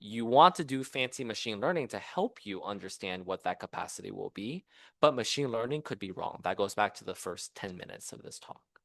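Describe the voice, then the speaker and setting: A man lectures like a professor at a seminar, conversational and engaged. His pitch moves up and down a lot for emphasis, and the sound is close and dry. He explains by posing questions to his listeners. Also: brisk, creaky, no echo. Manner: animated, conversational, explains with rhetorical questions and acted-out quotes. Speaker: university professor giving a seminar talk. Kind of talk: engineering lecture. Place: seminar room at a university.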